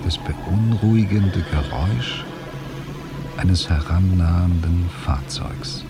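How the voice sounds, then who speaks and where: A helpless, brooding inner voice in a radio play, extremely slow and very low. Phrases halt and sink into a hush, gravelly at the edges, with music clearly behind. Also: male voice, exaggerated voice. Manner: halting, brooding, hushed phrase endings. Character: man trapped in slowed time, narrating his helplessness inwardly. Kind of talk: radio drama inner monologue.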